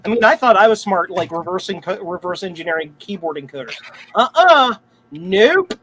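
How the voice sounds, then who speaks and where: A man's voice: high voice, very animated, moderate pace, a bit bright. A man hosting a live stream, chatting casually in a jokey, slangy way. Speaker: livestream host. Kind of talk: casual live-stream banter about retro computers. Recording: noisy live stream, room ambience.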